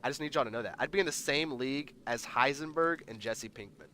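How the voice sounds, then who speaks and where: A man's voice: medium pitch, fast talker, sharp tone, a little hiss or hum. A man hosting a livestream, chatting casually and rambling while reading the chat aloud, congested and nasal. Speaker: livestream host.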